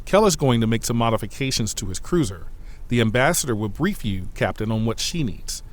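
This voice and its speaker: Man acting a diplomatic aide, mid-pitched, lively melody, efficient, orderly, formal, science fiction audio drama. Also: a little fast, natural voice.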